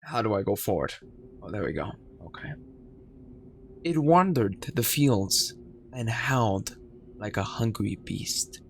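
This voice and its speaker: A man who streams games, reading a horror game's story aloud in a slow, dramatic storytelling way. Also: on the low side, lively intonation, creaky, a little hiss or hum. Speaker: gaming streamer.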